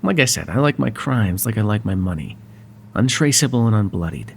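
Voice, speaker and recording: Casting: male actor for wry, exasperated yet courteous mobster, gravel-edged, conversational roleplay delivery, faint hum and noise on the recording. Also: fairly low pitch, animated, brisk. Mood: soft, apologetic. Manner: sardonic and conversational, swinging from exasperated to soft. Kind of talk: ASMR roleplay voice acting.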